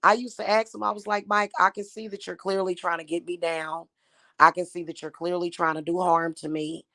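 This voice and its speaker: A woman in her thirties, a spiritual YouTuber talking casually to her viewers, her voice slightly hoarse and her talk aggrieved and repetitive. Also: fairly low pitch, flat delivery, fairly quick, a bit bright, no echo, clean studio sound. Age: 30s. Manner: aggrieved and repetitive, with frequent fillers.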